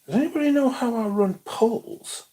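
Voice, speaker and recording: A man's voice: very high voice, moderate pace, mellow. Laid-back man chatting on a livestream. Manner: laid-back, swears casually, mumbles asides, reads chat aloud. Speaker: livestream host. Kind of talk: casual livestream chat reading comments.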